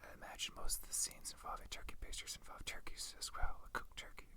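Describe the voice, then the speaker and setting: An ASMR host from Canada whispering a casual, rambling review in a hesitant, musing, unhurried manner. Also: sharp tone.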